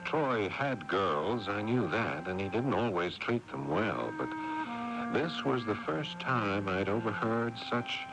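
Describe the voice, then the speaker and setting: An actor playing a dutiful, restrained brother in a 1980s radio drama, speaking in a measured, hesitant, understated way. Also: male voice, on the low side, sing-song, music in the background, full character voice. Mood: sober, reflective. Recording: noisy 1980s AM radio airing.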